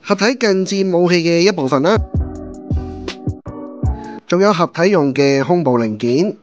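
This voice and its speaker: A man with a Hong Kong Cantonese accent, giving a relaxed toy review voice-over and explaining the steps. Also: fairly high pitch, unhurried, muffled recording, faint music behind.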